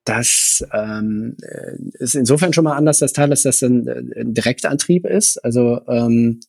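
Husky, hesitant male running coach. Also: mid-range voice, measured pace, darkish tone, no room sound. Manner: hesitant, many fillers, explains at length. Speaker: podcast co-host, running coach. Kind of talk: casual chat.

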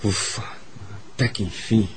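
An actor with a Brazilian accent playing a callous, sardonic gentleman in a radio drama, curt and dismissive, with a husky voice. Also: unhurried, slight background noise, close to an everyday voice. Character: callous, sardonic husband and mansion co-owner.

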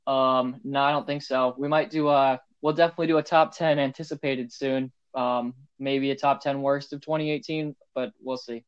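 A man's voice: mid-range voice, steady tone, moderate pace, few pauses, clean tone, dry recording, lo-fi sound. A man co-hosting a casual podcast, speaking in a laid-back way with short replies. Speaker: podcast co-host. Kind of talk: casual chat.

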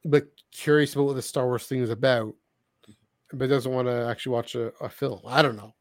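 Guy on a podcast just chatting, kinda hedgy and thinking out loud, really dry sound no room. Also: medium pitch, fairly even intonation, fairly quick, dry recording. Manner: hedging, thinking aloud. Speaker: podcast co-host. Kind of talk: casual podcast chat about books.

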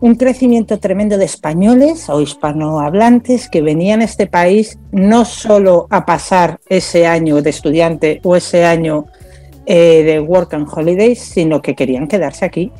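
A woman speaks in a low, warm voice. She is a radio guest who tells her story at an easy pace, with frequent "eh" pauses. Soft music plays faintly under her. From Spain. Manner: storytelling, hesitant fillers. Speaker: guest, social media community manager. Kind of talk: relaxed interview talk. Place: radio studio.

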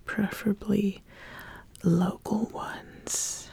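Casting: woman to play an ambitious, calmly appraising witch for ASMR roleplay; breathy, husky whisper, soft-spoken halting questions. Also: mid-range voice, no room sound, heavily acted. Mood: calm, probing.

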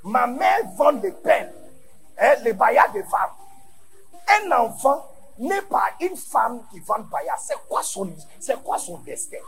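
A man from Ghana with English as his first language, preaching a sermon in French to a large conference, going from quiet asides to shouted emphasis. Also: extremely high pitch, very animated, slow, noisy background. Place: large church conference hall.

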